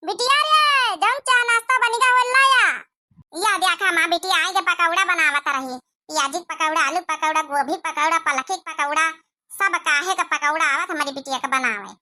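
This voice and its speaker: A woman's voice: very high voice, moderate pace, sharp tone, no echo, clean studio sound, full character voice. A woman voicing a boastful, chatty middle-aged village mother with an Awadhi accent in a rural comedy cartoon. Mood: boastful, coaxing. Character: talkative middle-aged village mother arranging her child's marriage, boastful.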